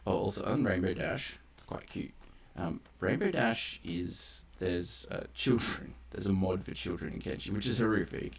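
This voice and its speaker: A man's voice: fairly low pitch, normal speed, gravelly, few pauses, breathy edge. A man giving casual, unscripted gameplay commentary, rambling off on tangents as he explains game mechanics in detail. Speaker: game streamer and narrator of a playthrough.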